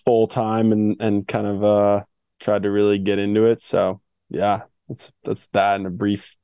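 A young man who grew up in Switzerland, answering interview questions in a relaxed, easygoing way with many fillers. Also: lowish voice, a little slow, clear, resonant tone, no room sound, studio quality. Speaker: guest, pro skier.

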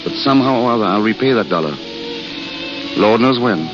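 An actor playing a gentle, penniless wandering writer in a 1930s radio drama, polite, halting and literate. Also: male voice, lowish voice, lively intonation, music in the background, close to an everyday voice. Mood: courteous, wistful. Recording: muffled, crackling old radio broadcast.

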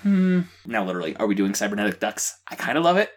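A woman in a casual brainstorming chat, playful and expressive in the way she talks. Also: extremely low pitch, fast. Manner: playful, expressive, uses sound words. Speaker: podcast panelist.

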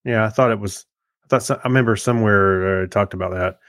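A man co-hosting a podcast, chatting and reading segments in a halting, rambling, joking way. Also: on the low side, fairly bright tone, dry recording, clean studio sound. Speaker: podcast co-host. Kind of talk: casual chat and segment reading.

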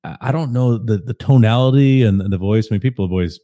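Casual podcast guest: deep-voiced man, calm and laid-back, self-deprecating. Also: fast. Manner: relaxed, self-deprecating, chuckling often.

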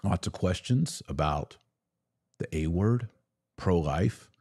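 A man's voice: low voice, expressive, slow pace, a little mellow, husky, no room sound, studio quality. An American man hosting a live-streamed podcast, giving conversational opinion commentary on the news with short, emphatic phrasing. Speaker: podcast host. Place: studio.

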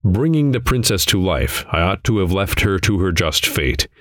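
A man's voice: low-pitched, very animated, normal speed, a bit bright, no echo, clean studio sound. A man voicing a proud, stubborn hero in an audiobook, earnest and declamatory, with archaic wording. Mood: rueful. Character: proud, impulsive male narrator-hero, stubborn and defiant. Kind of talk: audiobook character dialogue.

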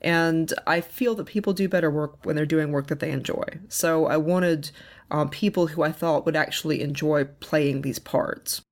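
A woman giving informal spoken advice in a measured, explanatory manner. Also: low-pitched, steady tone. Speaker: audio fiction producer and contributor.

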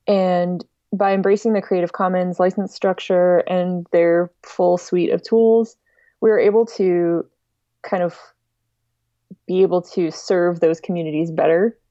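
A woman giving measured, explanatory answers as a guest in a podcast interview. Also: lowish voice, little pitch movement, mellow, dry recording. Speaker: podcast guest, music archive director. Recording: dull lo-fi sound.

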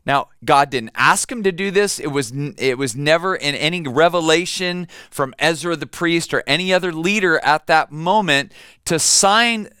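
A man preaching a sermon with a bright, forward voice and crisp diction. He sits a little high in pitch and talks conversationally, stumbling over and repeating words as he tells a story. The sound is dry and close. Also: moderate pace, dry recording. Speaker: pastor.

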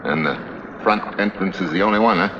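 An actor playing a tough, wry investigator with an American accent, clipped and slangy, in 1950s drama style. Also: male voice, low voice, brisk, lightly acted. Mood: weary, probing. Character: tough, wry, hard-boiled male investigator. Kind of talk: 1950s television drama acting. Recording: echoey, dull, noisy vintage soundtrack.

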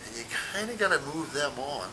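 A man's voice: big pitch swings, a little fast. An older man in his sixties or above chatting casually in an interview, rambling and plain-spoken, repeating himself as he goes. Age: 60s or older. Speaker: mall Santa Claus being interviewed. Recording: rumbling noisy background.

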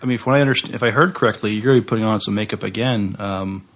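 A man's voice: fairly low pitch, racing, a bit bright. A man co-hosting a podcast interview, asking questions in a casual, chatty way.

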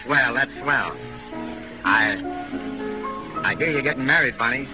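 A man's voice: mid-range voice, a little slow, natural voice. A man acting in a 1930s radio drama as a brash, hard-drinking burlesque comedian with breezy, slangy patter. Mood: forced cheer. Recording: muffled 1930s radio broadcast, music underneath.

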